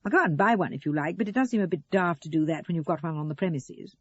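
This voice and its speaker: A woman voicing a curious, perceptive man in an audio story, questioning, reasonable and gently needling. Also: lowish voice, lively intonation, fast, lo-fi sound. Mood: long-suffering. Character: curious, perceptive man, mildly long-suffering houseguest.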